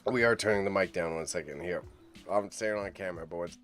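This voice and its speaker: A man hosting a casual auction livestream, chatty and excitable as he rambles to his chat, with a mild impediment on sh and ch sounds. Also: sits low, a little fast, faint music behind. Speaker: livestream host reacting to an online auction. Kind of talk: casual live stream commentary.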